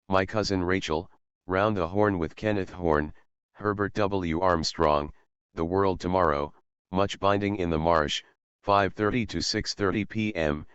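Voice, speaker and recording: Male narrator, very low and smooth, scripted list reading. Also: fairly bright tone. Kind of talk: scripted narration listing old radio programmes. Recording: muffled, roomy echoing recording.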